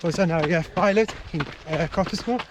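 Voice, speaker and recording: A man from Italy with an Italian accent, a runner speaking in plain, halting English while out running on a park trail. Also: high voice, background noise. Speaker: club runner, guest.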